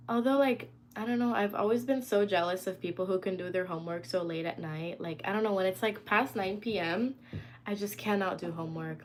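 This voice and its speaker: A young woman talks loosely and chattily into her phone on a home live stream, answering viewers as their comments come in. Her pitch sits in a middling range. A faint hiss of ambient noise stays under her voice. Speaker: livestreamer. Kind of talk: casual live stream chat. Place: indoors at home.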